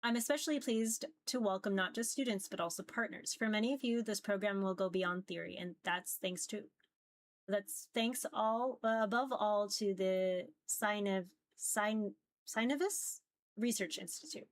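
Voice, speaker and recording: A woman's voice: mid-range voice, moderate pace, dry recording. A woman streamer reading a visual novel aloud in a casual live style, often stumbling over words and teasing herself.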